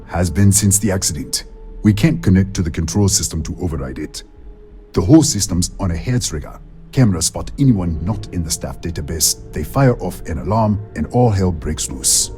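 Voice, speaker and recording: Low-voiced, weary facility worker. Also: male voice, lively intonation, normal speed, dark tone, soft background music. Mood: matter-of-fact. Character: matter-of-fact male research facility worker, helpful, weary. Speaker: video game character. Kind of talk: video game voice acting.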